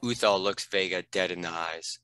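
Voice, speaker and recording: A man's voice: low-pitched, moderate pace, pushed and bright, clear, resonant tone, no room sound. A man reading stage directions as narrator, in a scripted narration style.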